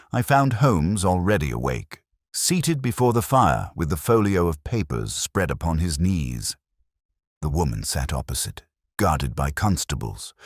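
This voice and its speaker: A man's voice: extremely low pitch, slightly rough, no room sound, studio quality. A man narrating a classic detective story from a script in a dramatic, formal storytelling manner. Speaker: audiobook narrator, reading as a first-person storyteller.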